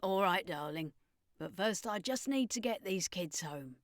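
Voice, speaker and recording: A woman's voice: on the low side, expressive, measured pace, no echo. A woman as streetwise vampire woman, blunt, wary, colloquial, audiobook, dry sound. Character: brash, streetwise, suspicious female vampire, protective of children.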